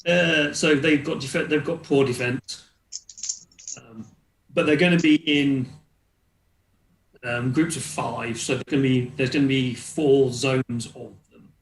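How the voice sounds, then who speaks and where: A man narrating a tabletop game as game master, improvising and halting as he searches for words. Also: medium pitch, fairly even intonation, a little hiss or hum. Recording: roomy, echoing room sound.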